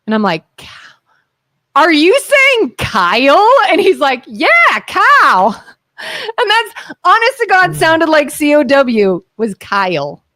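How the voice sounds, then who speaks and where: A woman's voice: high-pitched, slow pace, dry recording, studio quality. A young woman from Ohio with an Ohio accent, co-hosting a casual podcast and telling stories with acted-out voices. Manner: animated storyteller, acts out quoted voices. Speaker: podcast co-host. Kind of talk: casual chat.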